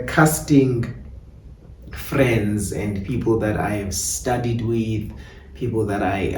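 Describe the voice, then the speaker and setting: South African man, mellow, in a roomy space. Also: on the low side. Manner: switches between English and Xhosa. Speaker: playwright and director being interviewed. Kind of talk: reflective interview talk. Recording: roomy, distant mic, faint background noise.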